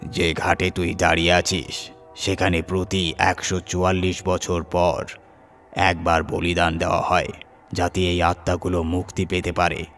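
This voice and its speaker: A man's voice: low voice, monotone, unhurried, fairly bright tone, faint music behind. A man voicing a grave old ascetic who makes foreboding pronouncements in a horror story. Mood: grave warning.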